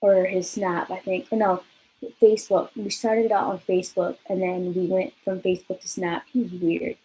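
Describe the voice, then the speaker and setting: A woman's voice: fairly low pitch, level tone, moderate pace, mellow, husky, low-quality recording. Chatty young woman telling a story. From California. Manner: chatty, lots of fillers and swearing. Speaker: vlogger. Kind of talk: casual storytime vlog.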